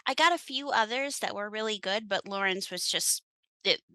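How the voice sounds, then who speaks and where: Bright-voiced woman, enthusiastic guest. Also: mid-range voice, moderate pace, no echo. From United States. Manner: enthusiastic, laughs often. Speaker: author, podcast guest. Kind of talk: casual conversational interview.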